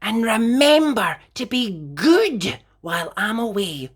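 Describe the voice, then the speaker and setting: Exasperated storybook woman, acted by a female reader, dramatic scolding, high-pitched, husky edge, slow delivery. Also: big pitch swings, full character voice. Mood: stern. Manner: dramatic, wailing complaint. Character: exasperated, fussy woman scolding her naughty monkeys.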